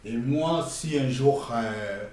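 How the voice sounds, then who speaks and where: Middle-aged Congolese man, French with Congolese accent, scolding vlogger, mid-pitched, mic distant, heavy echo. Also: a little mellow. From Democratic Republic of the Congo. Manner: emphatic, scolding, halting with frequent pauses. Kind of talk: casual spoken commentary. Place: outdoors near a construction site. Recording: echoey and distant with faint ambience.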